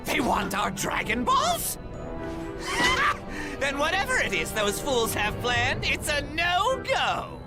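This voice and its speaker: Shrill, petulant demon king. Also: wildly swooping pitch, takes it slowly, over loud music, exaggerated voice. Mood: alarmed, scornful. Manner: whiny, bullying outbursts.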